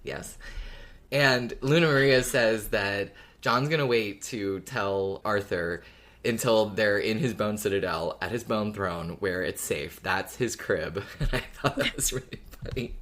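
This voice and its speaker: A podcast host chatting casually about a favourite story, in an expressive, emphatic way. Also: medium pitch, unhurried. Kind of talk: casual fan podcast chat.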